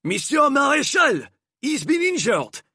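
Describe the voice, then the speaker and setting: A man voicing a demanding, suspicious male guard in a high, loud, strained tone. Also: very animated, normal speed, no echo, clean studio sound, exaggerated voice. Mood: alarmed.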